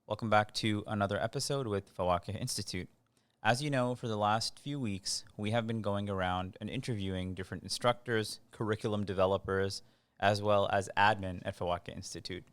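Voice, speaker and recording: A man's voice: on the low side, steady tone, a little fast, clear, resonant tone, no room sound. A man hosting an interview series, introducing the guest and asking friendly questions.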